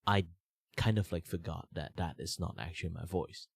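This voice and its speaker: A young man from Malaysia, slightly sick with a rough throat, chatting casually and rambling on his art stream with many fillers and restarts. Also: deep voice, fairly even intonation, normal speed, no room sound.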